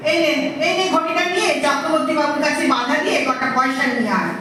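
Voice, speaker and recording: A woman's voice: high voice, expressive, fast talker, noisy background. A woman playing an overworked, quarrelsome elder village wife who scolds bitterly in an audio play. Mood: fed up. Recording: echoey, distant, loud music behind.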